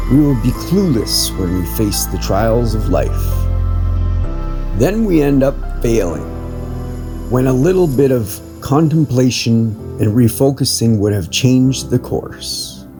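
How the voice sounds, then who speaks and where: A man reading an inspirational article as a podcast host, in a measured, earnest manner with deliberate phrasing. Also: mid-range voice. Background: soft plucked guitar music.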